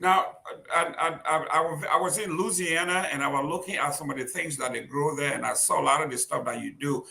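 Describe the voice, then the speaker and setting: A man's voice: a little high, fairly even intonation, brisk, gravelly, bright, forceful tone. A man hosting an interview, asking questions hesitantly with many filler sounds. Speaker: interview host. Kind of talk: conversational interview.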